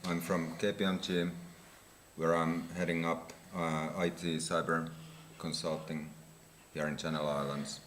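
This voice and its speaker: Very deep flat-voiced man from Finland. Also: unhurried, a little hiss or hum. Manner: hesitant, some ums. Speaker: cyber security consultant. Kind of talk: panel introduction. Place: conference hall at a tech fair.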